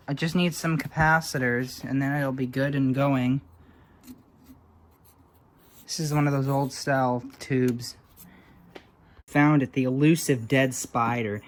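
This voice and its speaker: A man with a mid-pitched voice talks casually and slowly as he shows things off. His delivery is dry and wry, an unscripted vlogger's commentary with plenty of time between thoughts. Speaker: vlogger showing old radio repairs.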